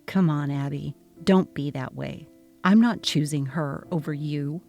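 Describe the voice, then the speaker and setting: Brief: woman reads young husband, placating and evasive, lowered voice, dramatic text-story narration. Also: measured pace, vocal fry, dark tone, highs cut off, faint music behind. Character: worried young husband, placating and conflict-avoidant.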